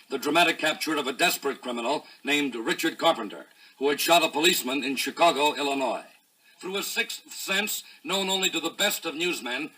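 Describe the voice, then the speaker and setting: A forceful, bright-voiced American man hosts a 1950s promotional record. His delivery is that of a dramatic announcer reading a script, slightly raspy and pitched on the high side. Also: poor audio. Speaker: narrator and host of a promotional record.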